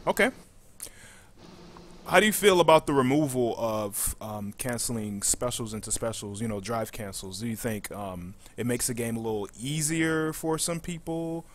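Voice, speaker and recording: Male interviewer with a medium-pitched voice. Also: normal speed, a little hiss or hum. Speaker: interview host. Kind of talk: casual question-asking.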